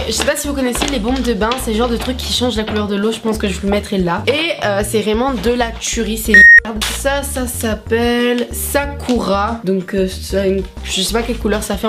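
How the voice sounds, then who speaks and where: A young woman, chatting casually in a vlog, swearing a lot and tossing in playful bits of mock English, with music behind her. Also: mid-range voice. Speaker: vlogger. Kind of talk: casual haul vlog chat.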